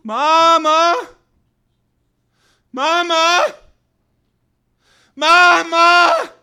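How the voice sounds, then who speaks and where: A man's voice: extremely high pitch, sing-song, measured pace, voice pushed up, heavily acted. A man voicing an anguished, fevered young boy in a story, pleading and wailing.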